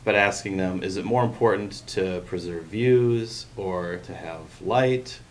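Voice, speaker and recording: A man with a very low-pitched voice speaks in a meeting discussion. He explains his reasoning step by step, using examples. The room recording has faint background noise. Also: unhurried. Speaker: public meeting participant.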